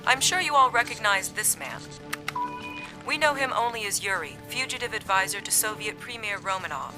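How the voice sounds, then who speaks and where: A woman's voice: mid-range voice, lively intonation, moderate pace, close to an everyday voice. An actress playing a businesslike female military intelligence lieutenant giving a formal briefing in a game cutscene. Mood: urgent, formal. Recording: music under the voice.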